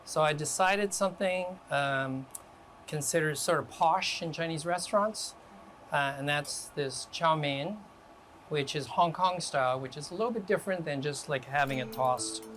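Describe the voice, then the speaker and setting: A man from Canada working as a guest chef, talking relaxed and step by step through a home cooking demonstration in a kitchen. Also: on the high side, moderate pace, slight background noise.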